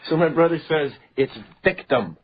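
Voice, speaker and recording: A suave, talkative card sharp played by a man in a radio crime drama. He is smug and politely mocking, with very lively intonation. The recording is a little dull, with faint noise. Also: on the high side, normal speed, lightly acted. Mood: smooth, amused. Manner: polished, formal, needling politeness.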